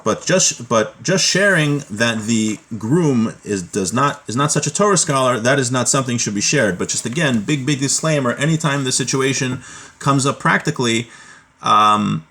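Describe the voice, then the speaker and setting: A man's voice: medium pitch, animated, moderate pace, bright, forceful tone. A man with a mid-range voice gives an informal religious lesson. He talks in an explanatory, conversational way, as a teacher does when walking students through a legal text. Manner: explanatory, conversational, often checking with "right?". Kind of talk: informal lecture, reading Hebrew text aloud and explaining it.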